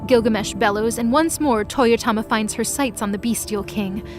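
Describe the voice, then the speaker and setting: A woman's voice: fairly high pitch, moderate pace, music in the background. A woman giving dramatic scripted narration for a mythology audio story.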